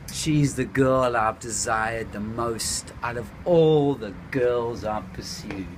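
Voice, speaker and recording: A man reading his own novel aloud in the first person, unhurried, lingering on phrases and letting the pace drift between slow and quick. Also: mid-range voice. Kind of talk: first-person literary narration. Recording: steady background noise with passing traffic.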